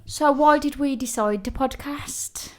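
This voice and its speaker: A woman's voice: high-pitched, a little slow, darkish tone. A young woman co-hosting a podcast in casual chat, giggly and trailing off mid-sentence. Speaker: podcast co-host.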